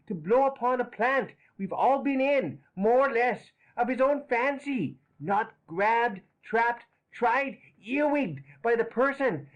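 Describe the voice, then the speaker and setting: A woman's voice: on the high side, lo-fi sound, pitched above the performer's own voice. A woman reading a storybook voicing a scheming old man who is frantic and wheedling. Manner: agitated, wheedling, intense.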